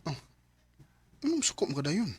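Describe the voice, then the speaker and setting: A man's voice: on the high side, big pitch swings, fairly quick, breathy edge, no room sound. A man with a Cebuano Filipino accent playing an earnest, devoted young husband in a radio drama. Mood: hurt, upset.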